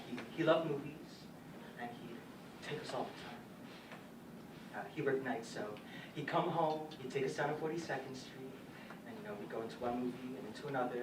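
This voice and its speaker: Distant, halting young male dancer played by a man. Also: mid-range voice, lightly acted. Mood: shy, nostalgic. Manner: halting, hesitant, nervous stammers and restarts. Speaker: stage actor in a school or youth theatre production. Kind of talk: confessional theatrical monologue. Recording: roomy stage, distant mic, faint noise.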